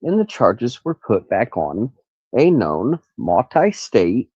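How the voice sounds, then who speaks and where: A man's voice: medium pitch, big pitch swings, takes it slowly, a little mellow, slightly rough, no room sound, lo-fi sound. A man with a nasal voice hosting a rambling, casual true crime livestream from an outdoor carport in the country. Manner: rambling, stops to look things up. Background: crickets and cicadas.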